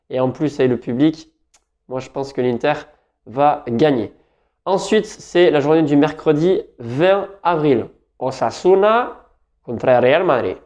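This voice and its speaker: A man's voice: medium pitch, mellow. Chatty French man, dull lo-fi sound. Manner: chatty, rambling, self-correcting. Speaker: football betting tipster. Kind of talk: casual solo talk to camera.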